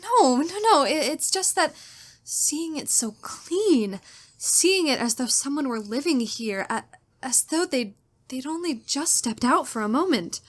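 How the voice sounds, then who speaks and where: A woman's voice: high voice, animated, a little slow. An actress playing a frightened, timid young woman in a radio thriller, anxious, breathless and stammering. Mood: shaken, evasive. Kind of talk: old-time radio thriller acting.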